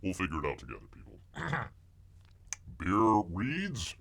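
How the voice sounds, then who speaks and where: A man's voice: fairly low pitch, wildly swooping pitch, unhurried, creaky, a bit bright, slightly rough, full character voice. A man voicing a bumbling, blustery male bear who rambles, stammers, talks about himself in the third person and keeps calling out to people. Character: bumbling blustery male bear, self-styled woods veteran. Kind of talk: comic character monologue.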